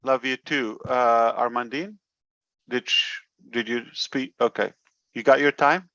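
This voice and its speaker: A man speaking informally as a company leader at an online meeting, halting as he searches for words. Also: mid-range voice, bright, forceful tone, no echo, highs cut off. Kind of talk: informal motivational address and chat.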